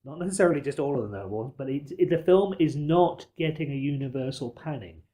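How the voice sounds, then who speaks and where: A man's voice: fairly high pitch, expressive, fast talker, dark tone. Discursive man hosting a film podcast. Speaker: podcast host.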